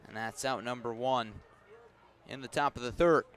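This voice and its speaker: A man giving live radio baseball commentary as the play-by-play announcer, describing each moment in an unhurried way and lifting his voice on the action. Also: medium pitch, fairly bright tone, some chatter behind.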